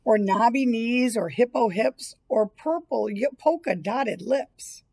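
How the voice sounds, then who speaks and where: A woman's voice: on the high side, animated, takes it slowly, darkish tone. A woman in her fifties reading a children's picture book aloud as a teacher would. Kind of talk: children's storybook read-aloud. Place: small indoor school room or library.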